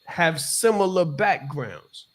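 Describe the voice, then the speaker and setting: A man's voice: a little high, expressive, slow pace. A man interviewing a guest casually, repeating phrases for emphasis and speaking to the audience in motivational asides.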